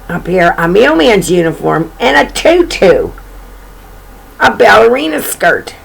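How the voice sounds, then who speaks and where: A woman's voice: lowish voice, gravelly, slightly rough, low-quality recording. A woman reading a children's storybook aloud in an informal way, with chatty, folksy asides about the pictures.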